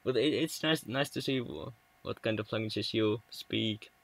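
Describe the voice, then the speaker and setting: A man's voice: lowish voice, measured pace, creaky, low-quality recording. A young man from Finland with a Finnish accent, chatting casually with viewers on a game livestream, often stumbling and restarting words. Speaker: livestream gamer.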